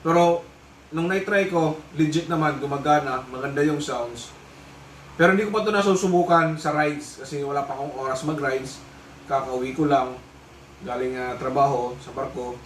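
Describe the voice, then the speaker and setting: Echoey, higher-voiced Filipino man reviewing gear. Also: normal speed. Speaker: vlogger reviewing a motorcycle intercom. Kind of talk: casual product unboxing review. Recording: echoey room, distant mic, faint noise.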